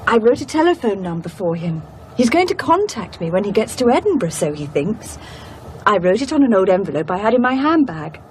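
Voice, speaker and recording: An actress playing a poised, scheming woman, politely measured and coolly ironic, in a radio horror drama. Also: sing-song, lightly acted. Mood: scornful, sly. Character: poised, scheming woman with a secret revenge plan. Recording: noisy rumbling background.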